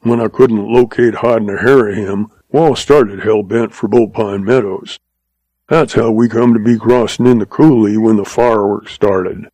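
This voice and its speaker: A man's voice: lowish voice, steady tone, measured pace, mellow, dry recording. A man voicing a cantankerous old cowhand in a western story, talking in a snorting folksy drawl. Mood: gruff.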